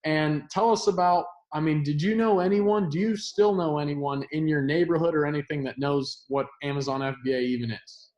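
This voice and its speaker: A man hosts a casual interview and speaks fluently to his viewers in an upbeat tone. His voice sits somewhat high for a man, and the room gives it a noticeable echo. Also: normal speed, hardly any pauses, darkish tone, highs cut off. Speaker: host of an online selling channel.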